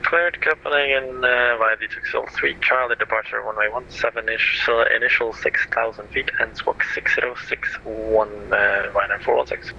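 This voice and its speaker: A man's voice: mid-range voice, steady tone, moderate pace, vocal fry, few pauses, pushed and bright. A man speaking as an airline pilot, making procedural requests and readbacks over aviation radio. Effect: aviation radio transmission. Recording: muffled, noisy aviation radio channel.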